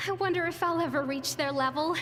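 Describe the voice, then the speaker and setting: A woman voicing a wistful young apprentice in a video game, with a soft, wondering manner. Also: sits high, fast. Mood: awed. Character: wistful young female apprentice in awe of elders. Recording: long reverb, game music behind.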